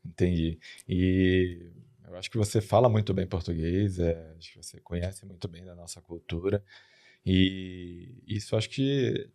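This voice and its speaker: Low-voiced guy hosting a podcast, dark mellow tone, kinda hesitant, repeats words. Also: a little slow, vocal fry. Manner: hesitant, repeats words while asking questions. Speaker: podcast host. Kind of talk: casual interview.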